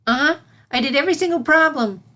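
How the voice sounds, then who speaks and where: Lady doing a little girl unicorn for a storybook, high voice, eager n curious, super polite pupil. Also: fast talker, sharp tone. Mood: proud, delighted. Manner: childlike, inquisitive.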